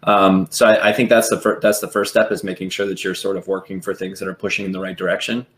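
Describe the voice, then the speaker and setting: A man's voice: low-pitched, little pitch movement, fast talker, fairly bright tone. A man on a panel video call, thinking aloud philosophically with many qualifications. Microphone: video call from a room. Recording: echoey room on video call.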